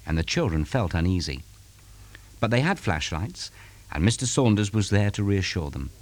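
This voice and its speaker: Low-voiced man reading a dramatic story. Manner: suspenseful, deliberate storytelling. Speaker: story reader. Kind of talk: dramatic scripted story narration.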